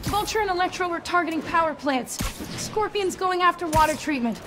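Game heroine: young, wisecracking, earnest, very high voice, wildly animated. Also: female voice, fairly quick. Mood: earnest, tired. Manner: quippy, animated. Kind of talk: video game voice acting. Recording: voice with music behind.

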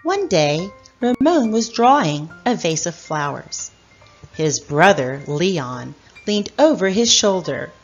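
Slow, expressive middle-aged woman storyteller. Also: lowish voice, lo-fi sound, faint music behind. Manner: deliberate, expressive storyteller delivery. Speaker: storyteller, host of read-aloud channel. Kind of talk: children's picture book read-aloud.

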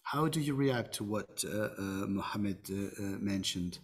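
A man moderating an online panel discussion, hesitant and filling pauses often. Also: on the low side, husky. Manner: hesitant, many fillers.

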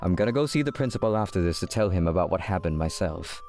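A man's voice: low-pitched, expressive, fast, a little mellow, faint music behind. A man voicing a stern, controlled, businesslike father of a teenager in a manga dub. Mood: stern, composed. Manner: formal, controlled.

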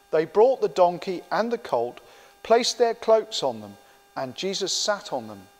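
Older man with lively, high-pitched reading. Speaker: lay Bible reader. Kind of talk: scripture reading aloud. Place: inside a church, standing with a printed sheet. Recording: reverberant church interior.

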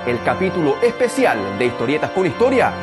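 Chilean male documentary narrator, thirties, very animated pitch, clear music bed underneath. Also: fairly quick.

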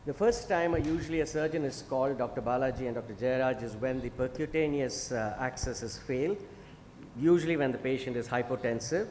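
A middle-aged man moderating a medical conference panel from a podium, measured with frequent fillers. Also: mid-range voice, darkish tone. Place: large conference hall, at a podium. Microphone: podium microphone. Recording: echoey hall with clear noise.

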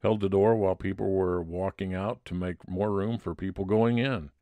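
Man playing low-voiced, chatty police detective. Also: steady tone, moderate pace, dark tone, dry recording, natural voice. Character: Detective Eddie, friendly chatty male police detective. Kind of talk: old-time radio detective drama.

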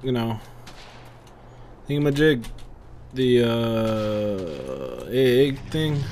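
A man with a mid-pitched voice commentates casually on a game he is playing. He thinks aloud, rambles and mutters to himself, swearing offhandedly. Faint game noise and gunfire sit behind his voice. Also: expressive, extremely slow, darkish tone. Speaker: gamer, let's-play commentator. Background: war video game sounds, gunfire.

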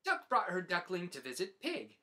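A man in his forties narrating a children's storybook as host, with deliberate, dramatic phrasing. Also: high-pitched, huge pitch swings, bright, forceful tone. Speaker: host reading picture books aloud.

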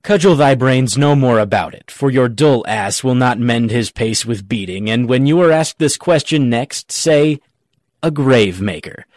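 Cocky country gravedigger voiced by a man, Shakespeare audio drama, mid-pitched, mock-learned and jokey, very dry sound. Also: unhurried, a little mellow, no room sound, lightly acted. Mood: teasing, cocky. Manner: pedantic, jokey mock-logical argument. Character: cocky, quibbling rustic gravedigger clown, a grown man.

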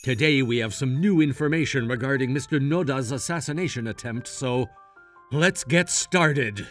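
A man's voice: medium pitch, moderate pace, faint music behind, close to an everyday voice. An actor playing an earnest, downbeat young male yakuza narrating in a scripted anime dub. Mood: earnest, subdued.

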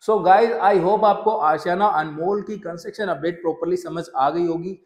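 A young man talks straight to camera about a property update, chatty and easygoing, with lots of fillers and English phrases mixed in. His voice sits a little high for a man. He wears a lapel mic, and the indoor room adds a noticeable echo. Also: steady tone, normal speed, faint music behind. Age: young adult. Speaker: real estate channel host. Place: indoor studio set with shelves. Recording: roomy echo.

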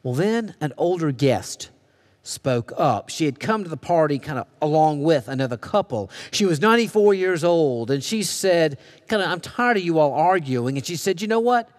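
An American man delivers a sermon in a fairly high voice. His words ring out with the long reverb of a big church space. He tells stories in a conversational way, slipping into the lines of the people he describes. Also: expressive, moderate pace. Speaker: pastor preaching. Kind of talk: sermon with storytelling. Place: church sanctuary. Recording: long reverberation of a large hall.

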